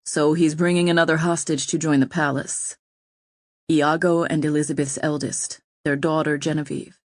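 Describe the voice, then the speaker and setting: A woman reading an audiobook, voicing a troubled, gentle nobleman who speaks softly and ruefully. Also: deep voice, little pitch movement, no room sound, clean studio sound, lightly acted. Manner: soft, measured, rueful.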